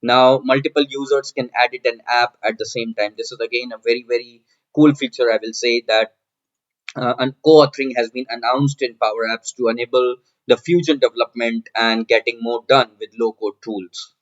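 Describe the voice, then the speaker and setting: A man presents a screen-share tutorial in a middle-pitched voice. He explains each step in turn and tends to repeat his phrases. The sound is a little dull and lo-fi. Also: steady tone, normal speed. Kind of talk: screen-share software walkthrough narration.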